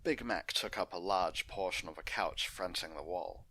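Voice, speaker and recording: A man's voice: sits low, normal speed, sharp tone. A man narrating a fan fiction story as an unedited read-aloud.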